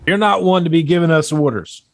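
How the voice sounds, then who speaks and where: A man's voice: on the high side, brisk, natural voice. A man playing a brash, angry young cowboy at a western table read, aggressive and accusing. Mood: brash, hostile.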